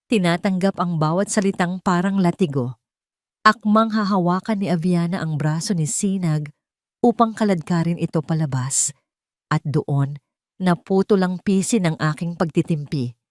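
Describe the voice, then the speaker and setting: Woman, Filipino accent, lowish voice, dramatic scripted storytelling, first-person narrator, close dry recording. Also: darkish tone, no echo.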